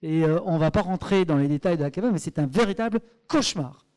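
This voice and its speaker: A man's voice: a little high, lively intonation, fast talker, a little mellow, clean tone. A man from Brussels with a Belgian French accent, presenting his startup at a meetup, hesitant with many fillers and then emphatic. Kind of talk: conference presentation.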